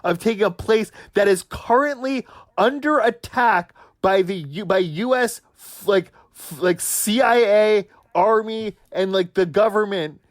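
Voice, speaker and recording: A man co-hosting a sports talk podcast who argues heatedly and swears constantly, ranting at his brother with forceful conviction. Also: high voice, measured pace, no echo, clean studio sound. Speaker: podcast co-host.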